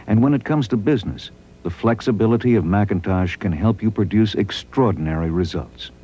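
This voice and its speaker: Male narrator, low mellow voice, measured instructional delivery, scripted promo read. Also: breathy edge.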